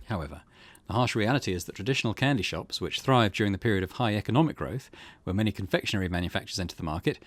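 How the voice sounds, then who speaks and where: A man's voice: fairly low pitch, animated, rapid-fire, hardly any pauses, no room sound. A man hosting a gaming video, reading out a visual novel as narrator. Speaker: gaming host.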